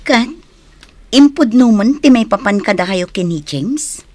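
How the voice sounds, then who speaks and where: A woman's voice: fairly high pitch, lively intonation, measured pace, darkish tone, no room sound. An actress with a Filipino accent playing a frank, concerned young female friend in a radio drama. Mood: inquisitive. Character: concerned, frank young woman, the heroine's confidante.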